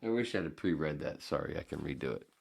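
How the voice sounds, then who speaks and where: Very deep gravelly man rambling. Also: expressive, fast. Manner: rambling, profane, self-deprecating. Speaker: podcast host. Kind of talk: casual solo podcast monologue.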